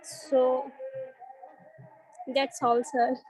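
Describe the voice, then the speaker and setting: Slow, high-voiced Indian nurse. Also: female voice, little pitch movement, darkish tone, husky, poor audio. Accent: Indian English. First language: Punjabi. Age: 30s. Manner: hesitant, many fillers. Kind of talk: practice interview answers.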